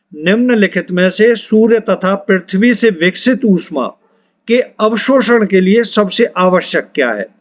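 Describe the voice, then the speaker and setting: A man's voice: high-pitched, no room sound, highs cut off. A man, an exam coaching teacher reading out quiz questions and answers in an instructional way, repeating for emphasis.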